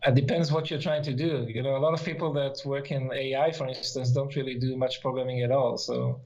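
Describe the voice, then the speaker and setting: A man's voice: medium pitch, flat delivery, fairly quick, a little mellow, dull audio. A middle-aged man from Israel whose first language is Hebrew, explaining things casually and a bit hesitantly as an interview guest. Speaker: interview guest, software developer.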